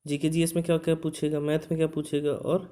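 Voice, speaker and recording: A man's voice: a little high, little pitch movement, quick pace, mellow. A man hosting an exam coaching lesson, informally explaining as he reads through a notice, with frequent short check-in words. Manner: conversational, checks in with repeated short tag words. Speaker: exam coaching instructor and channel host.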